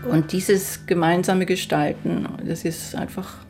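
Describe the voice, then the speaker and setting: A woman's voice: lowish voice, flat delivery, a little slow, over loud music. A middle-aged woman who grew up in Austria, a violinist speaking reflectively and with enthusiasm about her work in a documentary interview.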